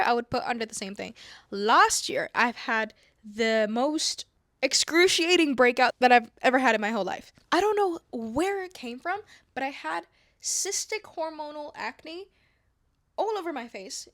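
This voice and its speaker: A young woman from Indonesia chatting calmly to camera, soft-spoken with whispery asides and self-mocking laughs. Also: high-pitched, moderate pace, no room sound, studio quality. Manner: playful, laughing at herself. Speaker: vlogger. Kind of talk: calm casual chat to camera. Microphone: wireless clip-on mic.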